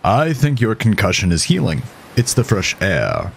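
A man's voice: on the low side, huge pitch swings, normal speed, vocal fry, a little hiss or hum, voice pushed up, heavily acted. A man voicing a weary, evasive, intense doctor with a musing manner in a horror story. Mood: weary, faintly cheerful.

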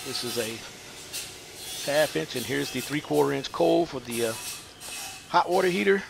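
A man working as a plumber, explaining a pipe installation in an unfinished house, pausing often with fillers. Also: medium pitch, expressive, gravelly, background noise. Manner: halting, many "uh" fillers. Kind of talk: hands-on how-to explanation. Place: unfinished house under construction, indoors.

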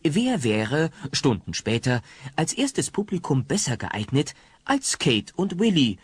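An actor narrating a comedic family radio play as a wry storyteller with a husky voice. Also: male voice, a little high, very animated, slow pace, exaggerated voice.

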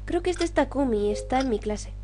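A woman's voice: sits high, expressive, a little fast, noisy background. A young woman hosting a gaming video, reading game dialogue aloud. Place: indoor room at home. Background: game music.

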